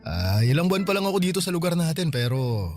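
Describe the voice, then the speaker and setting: A man's voice: on the high side, very animated, fast, a little mellow, soft background music. Friendly, easygoing neighbour guy. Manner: easygoing, chuckling. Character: friendly, modest, brave male neighbour. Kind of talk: radio drama dialogue.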